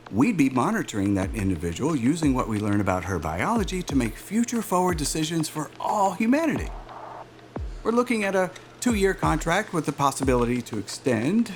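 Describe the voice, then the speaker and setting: A man playing a self-assured, smooth-talking aerospace executive in a naturalistic audio drama, with a polished, salesman-like manner. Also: medium pitch, big pitch swings, a little fast, soft background music. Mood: measured, careful.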